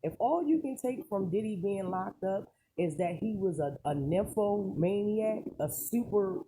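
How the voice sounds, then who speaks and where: Noisy recording with clicks, mid-pitched voice, casual rambling commentary, emphatic, colloquial, rhetorical questions. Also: lively intonation, a little slow. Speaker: online celebrity news commentator.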